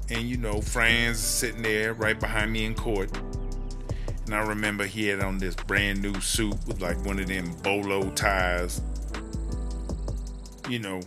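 A man's voice: on the low side, natural voice. A man playing a tipsy, streetwise woman prisoner in an audio drama, telling stories in a slangy drawl. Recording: music playing behind the voice. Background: music.

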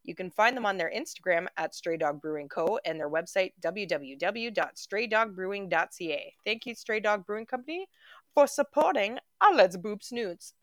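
A woman's voice: medium pitch, expressive, normal speed, a bit bright, no room sound, studio quality. A playful woman co-hosting a podcast, laughing often. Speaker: podcast co-host. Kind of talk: casual chat.

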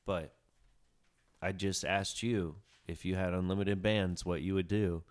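Laid-back low-voiced male podcast host. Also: fairly even intonation, measured pace. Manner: laid-back, musing, trails off into low mutters. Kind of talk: casual podcast conversation. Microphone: studio podcast mic.

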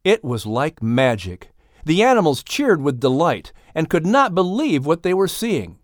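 An expressive woman reads a children's storybook in a close, dry recording. The delivery is animated, with a pitch that moves freely from phrase to phrase. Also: measured pace, dry recording. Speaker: audiobook narrator. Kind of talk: scripted children's storybook narration.

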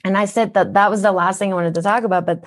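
A woman's voice: medium pitch, steady tone, fast. A woman from Japan with a Minnesotan accent, speaking as a wellness guest in an interview, earnest and teacherly, asking rhetorical questions. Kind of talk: podcast interview.

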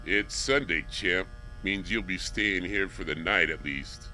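An actor playing a laconic, unhurried jailer in a period radio drama. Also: male voice, low voice, pushed and bright, a little hiss or hum, full character voice, rough recording. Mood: dry, indifferent.

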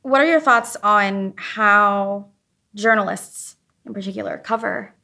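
A woman's voice: medium pitch, measured pace, vocal fry, bright, forceful tone, reverberant. A woman hosting a podcast interview, asking questions in a conversational style. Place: on location at a film festival.

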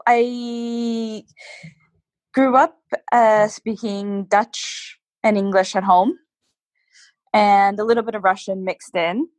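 A Canadian woman, a guest in an interview, explaining things patiently in casual chat, modest and quick to laugh at herself. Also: medium pitch, steady tone, a little mellow, no echo. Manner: self-deprecating, laughs often, explains patiently.

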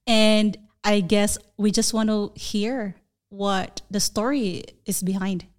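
A woman's voice: medium pitch, steady tone, slow. A woman hosting a podcast, reading an upbeat scripted intro and then chatting in a welcoming way with her guest. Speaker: podcast host.

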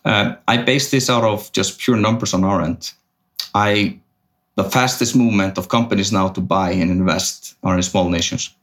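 A man with a very low, deep voice answers questions as a podcast guest. He talks in a halting way, restarting sentences as he works out his thoughts. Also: steady tone, a bit bright. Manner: hesitant, restarts often, thinks aloud. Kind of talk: casual business interview.

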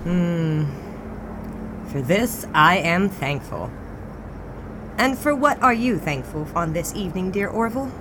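A woman's voice: lowish voice, lively intonation, unhurried, long pauses. An actress playing a self-assured, teasing 1920s lady newspaper editor in a period audio drama. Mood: flirtatious, teasing. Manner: arch, teasing. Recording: clear outdoor street and car noise.

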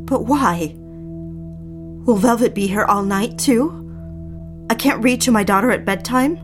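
A woman's voice: a little high, a little slow, lightly acted. An actress playing an anxious, submissive young wife in a dramatic audio fiction, hesitant and pleading, with music behind her. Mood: fearful, pleading. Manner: hesitant, pleading, repeats herself. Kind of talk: dramatic audio fiction, dialogue and confessional narration.

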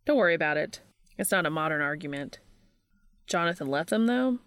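A woman's voice: low-pitched, lively intonation, brisk, a bit bright, dry recording. A woman voicing a confident, easygoing male college student who talks casually and dismissively about books. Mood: relaxed, encouraging. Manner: casual, assured, profane.

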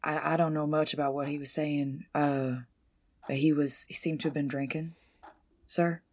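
A woman plays a female stunt driver in a period audio drama. Her voice sits low and is rough-edged and husky. She is deferential and folksy, choosing words carefully and staying guarded. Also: flat delivery, moderate pace, a little mellow. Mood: guarded, polite. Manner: polite, hesitant, folksy. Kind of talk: 1920s-set audio drama roleplay.

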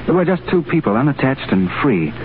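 A man's voice: mid-range voice, sing-song, a little fast, slight background noise, lightly acted. An actor playing a restless, romantic English diplomat in 1930s radio drama, suave and wry in his banter. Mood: ardent. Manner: suave, bantering, questioning tags. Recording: tinny 1930s radio broadcast with music.

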